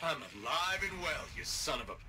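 A man's voice: fairly high pitch, sing-song, normal speed, background noise. An actor playing a confident male freelancer hero in a video game, dry, sarcastic and defiant. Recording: loud music over the voice.

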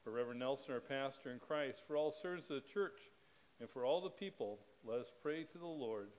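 A man's voice: mid-range voice, poor audio. Middle-aged man reading liturgy at a pulpit. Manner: measured, steady reading cadence. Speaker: pastor. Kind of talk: leading a spoken liturgy. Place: church sanctuary, at a pulpit. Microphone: pulpit microphone.

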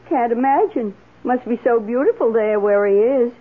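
An actress playing a wry, wistful middle-aged schoolteacher and mistress, expressively, in an old-time radio drama. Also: female voice, a little high, normal speed, close to an everyday voice. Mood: musing. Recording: muffled old radio broadcast.

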